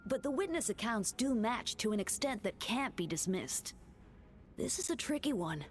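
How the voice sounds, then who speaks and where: A woman's voice: fairly high pitch, normal speed, slight background noise. A woman playing a thoughtful, impartial female magistrate in a voice-acted video game. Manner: thoughtful, formal, even-handed. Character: measured, impartial female magistrate weighing evidence. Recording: game audio with faint music behind.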